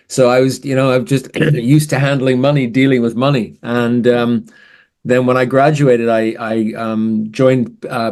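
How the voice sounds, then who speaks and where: A man in his sixties from Canada, a podcast guest telling his career story in a relaxed, conversational way. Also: mid-range voice. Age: 60s. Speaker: podcast guest, finance strategist. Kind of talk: casual interview answers.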